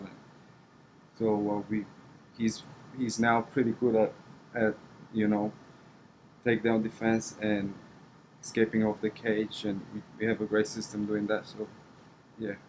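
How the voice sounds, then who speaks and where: A man with a Romanian accent giving conversational interview answers as a coach, halting and repeating words as he goes. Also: fairly low pitch, flat delivery, a little mellow, a little hiss or hum, poor audio. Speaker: wrestling coach.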